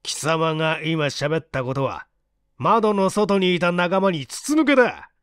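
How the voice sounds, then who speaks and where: A man voicing a sneering, menacing villain who taunts roughly in a dramatic story reading. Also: a little high, expressive, measured pace, fairly bright tone, dry recording, studio quality. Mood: mocking, gloating. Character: sneering, menacing male villain in disguise as a professor.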